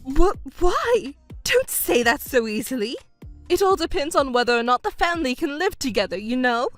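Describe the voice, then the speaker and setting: A woman acting a shrill, hysterical, self-pitying mother. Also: expressive, brisk, fairly bright tone, faint music behind. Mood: hysterical, pleading. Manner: shrill, whiny, indignant protesting. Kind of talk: melodramatic dubbed story acting.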